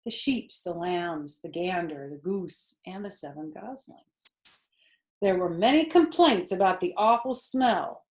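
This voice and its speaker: A woman's voice: fairly low pitch, animated, a little slow. A woman storyteller reading a children's book aloud expressively, with chatty asides to young listeners.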